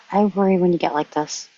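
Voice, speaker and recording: A woman playing a tender, worried young woman who soothes her lover, gentle and reassuring, in a script table read. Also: fairly low pitch, fairly even intonation, moderate pace, close to an everyday voice. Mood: tender, soothing. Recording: dull, lo-fi audio.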